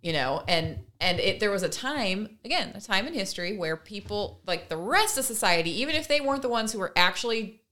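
A woman's voice: mid-range voice, expressive, a little fast, few pauses, sharp tone. A woman co-hosting a casual podcast discussion, speaking in an earnest, opinionated and emphatic way. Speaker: podcast co-host.

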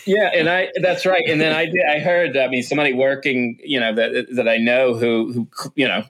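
Male host, mid-pitched, rambly, filler-heavy, restarts often. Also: steady tone, normal speed, a bit bright, rough recording. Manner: rambling, many fillers, frequent "yeah" backchannels. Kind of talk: casual discussion.

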